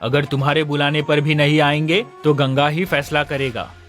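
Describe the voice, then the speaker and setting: A man acts as a village headman sitting in judgment in an animated moral tale. He sounds stern and authoritative in a slightly raised register, with music underneath. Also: a little high, normal speed, lightly acted. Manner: authoritative, questioning. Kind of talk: Hindi animated moral story dialogue.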